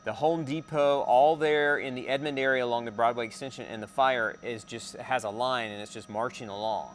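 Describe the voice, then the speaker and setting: A male news anchor talks through live coverage off the cuff in a medium-pitched voice. His delivery is halting, with repeated words as he describes events as they unfold. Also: fairly even intonation, slight background noise. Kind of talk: unscripted live news commentary.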